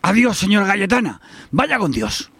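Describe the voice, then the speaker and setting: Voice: medium pitch, big pitch swings, normal speed, gravelly, a bit bright, husky, exaggerated voice. An actor playing a greedy, scheming, sarcastic eldest heir in a melodramatic radio soap. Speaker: actor in an amateur radio drama.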